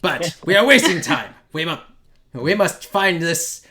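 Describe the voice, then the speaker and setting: Man acting a self-assured mage, dismissive, wry, formal phrasing, bright tone, tabletop roleplay. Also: fairly high pitch, expressive, measured pace, slight background noise. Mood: wry, impatient.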